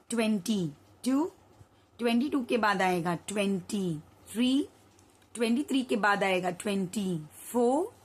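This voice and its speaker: A young woman teaching a children's maths lesson, her voice hoarse, counting slowly aloud and asking the children questions. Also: a little high. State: hoarse from shouting at school.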